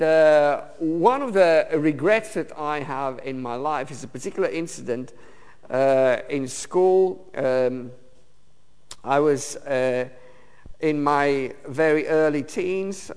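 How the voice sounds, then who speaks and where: A man from Romania, preaching a personal testimony sermon in a halting way, with many fillers and restarts. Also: a little high, darkish tone, smooth. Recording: long reverb of a hall.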